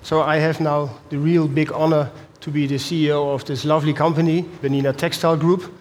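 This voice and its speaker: A man's voice: on the high side, level tone, brisk, a little mellow, a little hiss or hum. A Dutch-accented man in his fifties, an executive giving a wry, joking speech to an audience. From Netherlands. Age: 50s. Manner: wry, self-deprecating humor. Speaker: company executive.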